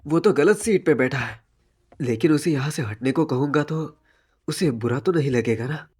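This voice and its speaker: A man playing a gentle, anxious, polite teenage schoolboy, thinking aloud hesitantly in an anime fan dub. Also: fairly high pitch, animated, normal speed, breathy edge. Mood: worried. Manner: hesitant, worried inner monologue.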